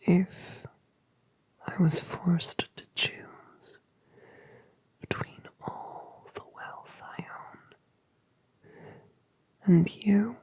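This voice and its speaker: Low, breathy, whispering dragon mom, gentle and cooing; woman actor. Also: extremely slow, long pauses, dry recording, exaggerated voice, poor audio. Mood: tender. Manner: cooing, affectionate, asks gentle questions. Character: colossal motherly dragoness, doting and gentle. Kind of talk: whispered ASMR roleplay.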